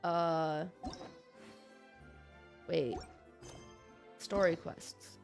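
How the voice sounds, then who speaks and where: A woman livestreamer chatting casually over a game, playful, expressive and rambling to the viewers. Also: low-pitched, very slow, gravelly. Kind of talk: casual game stream commentary. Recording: game music behind the voice.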